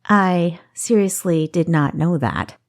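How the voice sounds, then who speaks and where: A woman's voice: on the low side, takes it slowly. A woman voicing a defiant, witty female thief in an audiobook, scoffing and playfully sarcastic. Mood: mischievous, sarcastic. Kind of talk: audiobook character acting.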